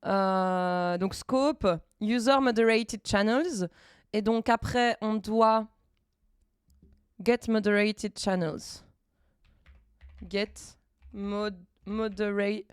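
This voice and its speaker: A woman streamer chatting casually while she codes live, thinking aloud in halting bits and dropping in English coding terms. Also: medium pitch, steady tone, clean tone, no echo, clean studio sound. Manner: thinks aloud, halting, many fillers and restarts.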